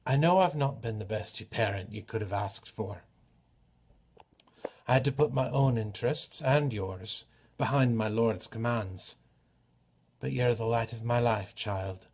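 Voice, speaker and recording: Man reading as a gentle, weary old father. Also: mid-range voice, a little slow, slightly rough. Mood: tender. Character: weary old father, humble, loyal and tender. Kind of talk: storybook character reading.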